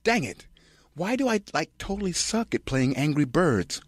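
A man's voice: on the high side, very animated, moderate pace, dry recording, voice pushed up. A man voicing a ditzy, whiny young woman, a bride who talks in slangy valley-girl style. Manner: ditzy, filler-laden slang.

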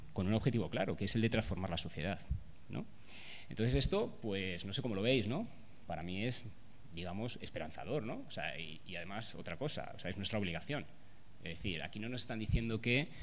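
A man gives a conference talk at a table microphone in an event room, pausing often and tagging his sentences with "¿no?". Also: fairly low pitch. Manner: hesitant, many filler "¿no?" tags. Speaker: speaker. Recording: long hall reverb.

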